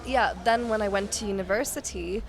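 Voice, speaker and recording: A woman's voice: mid-range voice, brisk. A woman in her twenties from Kenya, talking casually as a podcast guest and telling stories in a chatty way with quoted asides. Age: 20s. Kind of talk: casual conversational interview.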